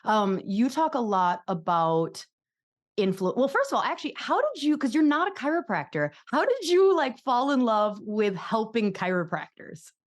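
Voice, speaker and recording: A woman's voice: on the high side, sing-song, moderate pace. A young adult woman hosting her podcast, talking casually with many filler words, restarts and giggles. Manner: chatty, lots of likes and restarts, giggly. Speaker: podcast host, chiropractor.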